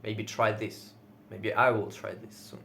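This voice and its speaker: A man whose first language is Russian, speaking English with a Russian accent in casual solo talk, now and then pausing to search for words and swearing lightly. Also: lowish voice, flat delivery, creaky, slight background noise. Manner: says he is loud most of the time. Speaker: video creator.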